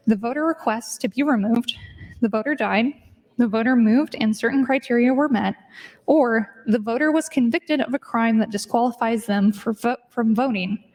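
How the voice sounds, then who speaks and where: A woman's voice: fairly high pitch, a little mellow, poor audio. A woman state legislator giving a speech in floor debate in a legislative chamber. She argues her point, moving between statute readings and casual asides.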